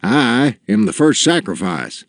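A man's voice: medium pitch, big pitch swings, unhurried, no echo, studio quality, pitched above the performer's own voice. A man voicing a small, brave storybook villager who is earnest and persuasive. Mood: solemn, pleading. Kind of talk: storybook character voice.

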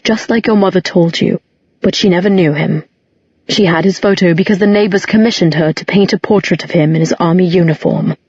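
Woman reader as a weary, regretful man, husky. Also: mid-range voice, darkish tone, dry recording, muffled recording. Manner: subdued, measured, apologetic. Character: weary, regretful grown man, gently explaining hard truths.

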